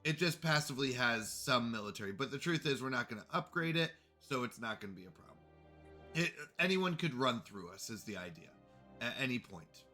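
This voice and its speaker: A man's voice: medium pitch, lively intonation, fairly quick, sharp tone, faint music behind. A man with a congested voice chatting casually to viewers while playing a strategy game on a live stream. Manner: chatty, greets chat viewers.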